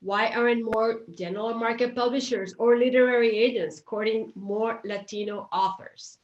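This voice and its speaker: A woman moderating a panel, putting prepared questions in a measured, articulate way, in a roomy recording. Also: a little high, steady tone, highs cut off. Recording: roomy, echoey sound.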